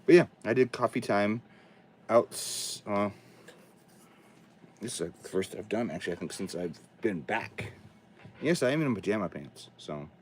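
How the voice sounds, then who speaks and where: Guy with a cold, raspy hoarse voice, chatty, rambles on his livestream, pitch jumps around a lot. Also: lively intonation, normal speed, pauses often, a little hiss or hum. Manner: rambling, chatty, talks to himself while setting up. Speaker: livestream host. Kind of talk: casual livestream chat. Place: home studio basement.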